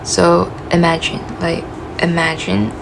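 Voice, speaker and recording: A woman with a gravelly voice giving a casual, reflective self-help talk, full of "you know" and "like", her voice cracking as she loses it. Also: fairly low pitch, monotone, takes it slowly, background noise. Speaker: motivational speaker.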